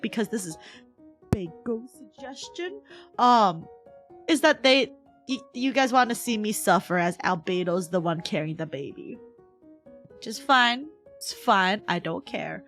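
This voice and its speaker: A woman's voice: a little high, a bit bright. A woman livestreamer chatting casually and playfully with her viewers in a giggly, bantering way. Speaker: VTuber livestreamer.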